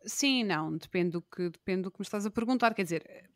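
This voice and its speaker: A woman's voice: mid-range voice, lively intonation, dry recording, studio quality. A woman in her thirties with a Lisbon Portuguese accent, chatting casually and wryly as a podcast guest, with lots of fillers. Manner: wry, self-mocking, fast rambling with fillers. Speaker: guest reporter.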